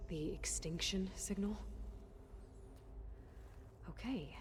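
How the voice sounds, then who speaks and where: An actress playing a young, determined video game heroine who is direct, questioning and wary. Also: female voice, mid-range voice, slow pace, noisy background, lightly acted. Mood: troubled, searching. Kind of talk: scripted video game dialogue.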